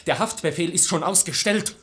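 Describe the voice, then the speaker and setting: An actor playing a loyal, earnest young suitor, speaking urgently in a verse radio play. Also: male voice, high-pitched, fairly quick, a bit bright. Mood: urgent, anxious.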